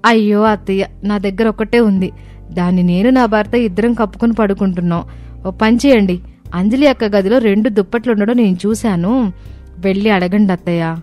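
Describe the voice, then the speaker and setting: A brisk young daughter-in-law acted by a woman from a cartoon tale. She is practical and emphatic, mid-pitched, with music playing underneath. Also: mellow, natural voice. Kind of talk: cartoon story dialogue.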